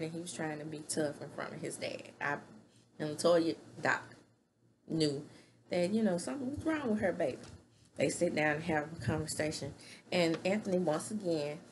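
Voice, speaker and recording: A woman's voice: deep voice, darkish tone, slight background noise. A middle-aged woman giving a chatty, opinionated recap of a reality show from a room at home, recorded on a webcam. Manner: chatty and opinionated, hand gestures. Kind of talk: casual recap commentary. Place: small room at home, indoors. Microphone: webcam at desk distance.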